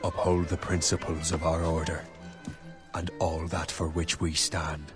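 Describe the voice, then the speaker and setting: A man playing an older, world-weary Templar assassin-hunter in a video game, speaking in a solemn, measured way. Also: very deep voice, level tone, over music. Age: middle-aged. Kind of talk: dramatic video game narration.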